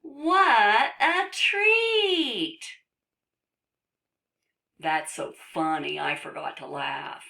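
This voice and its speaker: A woman's voice: medium pitch, sing-song, takes it slowly, sharp tone, pitched above the performer's own voice, exaggerated voice. A woman voicing a small wisecracking bookworm from a children's picture book, cheeky and exclamatory. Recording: roomy echo.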